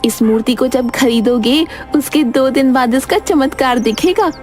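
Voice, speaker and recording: A woman's voice: sits high, unhurried, a little mellow, soft background music. A woman playing a cunning, boastful village wife who talks in a sly, pushy, persuasive way.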